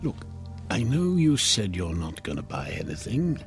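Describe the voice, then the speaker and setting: A sly devil of a traveling salesman in a radio play. His low voice swings up and down through glib, coaxing patter. Music plays underneath as he works on his customer. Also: wildly swooping pitch, moderate pace, creaky, heavily acted. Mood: smooth sales pitch. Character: sly devilish door-to-door salesman peddling a time-bending clock. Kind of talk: modern radio drama acting.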